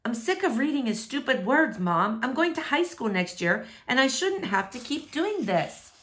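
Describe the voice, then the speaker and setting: A woman's voice: high voice, big pitch swings, normal speed, a bit bright, pitched above the performer's own voice. A woman voicing a sullen, protesting schoolboy in a story. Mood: sullen, pleading. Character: sullen, protesting boy starting high school soon.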